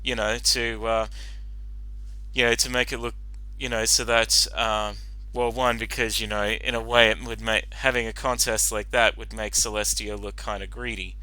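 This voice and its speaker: A man giving casual reaction commentary as host, talking in a halting, rambling way with lots of filler phrases. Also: on the low side, flat delivery, bright, forceful tone, dry recording, low-quality recording. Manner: halting, rambling, frequent "you know". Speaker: host giving commentary on a fan-fiction reading.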